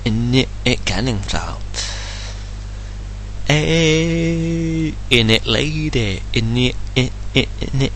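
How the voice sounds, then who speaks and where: Ranting English man, bright edgy voice. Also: mid-range voice, slight background noise. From England. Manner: indignant, blunt, swears freely. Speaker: vlogger. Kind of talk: ranting solo vlog.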